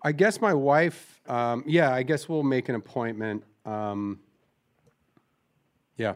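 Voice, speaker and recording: Deadpan man teasing on a prank call. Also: mid-range voice, slow pace. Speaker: comedian.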